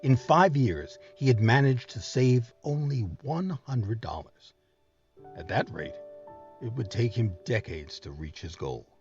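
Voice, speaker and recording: A man narrating scripted history as a series host, with a dramatic, measured storytelling delivery. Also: mid-range voice, expressive, darkish tone, highs cut off, faint music behind.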